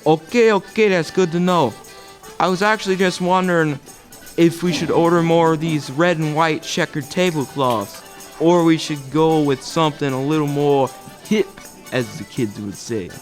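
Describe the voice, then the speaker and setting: A man's voice: a little high, normal speed, soft background music, lightly acted. A young man playing a chatty, eager-to-please pizza parlor sidekick in a comic student radio play. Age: young adult. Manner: chatty, solicitous. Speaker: actor in a student audio play.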